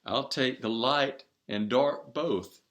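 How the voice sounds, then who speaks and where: A man reads the part of a poor elderly sister in a story. She is warm and wise, speaking slowly in plain, gentle, encouraging words. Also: mid-range voice. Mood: warm, contented.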